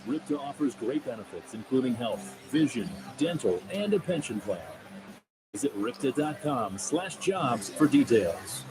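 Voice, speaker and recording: A man's voice: mid-range voice, very animated, unhurried, poor audio. A man reading a scripted radio commercial as an announcer. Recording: voice over loud music bed. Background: loud music.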